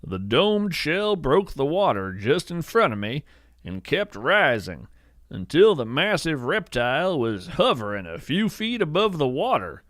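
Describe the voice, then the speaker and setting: A man playing an earnest, awestruck naturalist, narrating in a poetic, measured storytelling style. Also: a little high, a bit bright, dry recording, clean studio sound. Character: awestruck bearded male naturalist who studies strange creatures. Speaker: host and narrator of a fiction podcast. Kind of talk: scripted first-person narration, storytelling.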